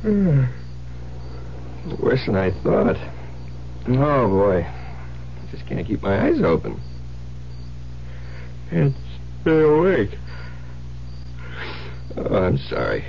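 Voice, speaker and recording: An actor playing a weary, easygoing husband in an old radio drama, murmuring in a drowsy, drawn-out way. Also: male voice, medium pitch, huge pitch swings, creaky, heavily acted. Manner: slurred, drawn-out, sleepy murmuring. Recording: dull, noisy old radio recording.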